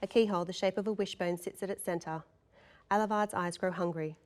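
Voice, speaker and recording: Low-voiced woman reading screenplay directions. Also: fairly even intonation, fairly quick. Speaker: narrator of a table read. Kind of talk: scripted narration of screenplay directions.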